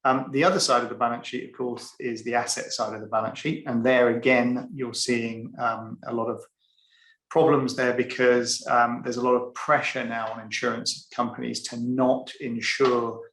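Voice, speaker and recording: Gravelly man on an echoey video call. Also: mid-range voice, flat delivery, moderate pace, a bit bright. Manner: conversational, explains step by step with fillers. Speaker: panelist, insurance executive. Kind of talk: webinar panel discussion. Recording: echoey room.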